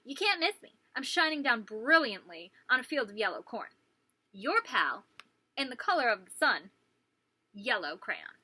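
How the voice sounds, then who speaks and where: A woman's voice: fairly high pitch, very animated, moderate pace, bright, forceful tone, breathy edge, no room sound, exaggerated voice. A woman voicing a proud, insistent crayon from a children's storybook, speaking emphatically and with self-assurance. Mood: boastful, insistent. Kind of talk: children's storybook read-aloud.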